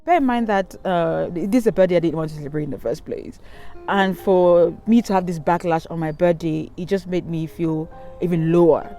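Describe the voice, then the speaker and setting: A woman's voice: on the low side, normal speed, warm tone, soft background music. A young woman from Cameroon, telling a candid, rambling storytime to her channel, filming herself outdoors by a river with a handheld camera. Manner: candid, rambling, many ums and restarts. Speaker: vlogger. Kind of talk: casual storytime monologue. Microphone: handheld camera at arm's length.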